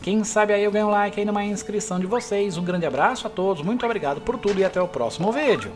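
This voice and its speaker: A man's voice: high-pitched, fast. Brazilian man narrating gameplay over music. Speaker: gameplay video host. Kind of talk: casual video game commentary. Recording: game music behind the voice. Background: video game music.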